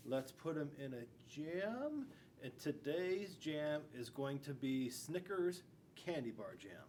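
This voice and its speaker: A man's voice: medium pitch, a little mellow. A man in his forties reading a storybook to young children, with playful, chatty asides. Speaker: school resource officer reading to children. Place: school classroom. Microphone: camera a few feet away. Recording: distant mic, faint room noise.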